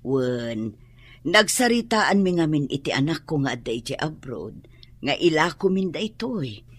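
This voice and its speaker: An actress playing a practical older landlady and mother in a radio drama, with a husky voice and an Ilocano accent. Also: low voice, sing-song, a little slow, heavily acted. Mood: matter-of-fact.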